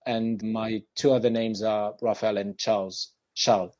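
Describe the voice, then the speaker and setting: A man's voice: lowish voice, level tone, a little slow, a little mellow. A young French man, a guest actor in a podcast interview, talking in a loose, reflective way with many fillers. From France, Paris.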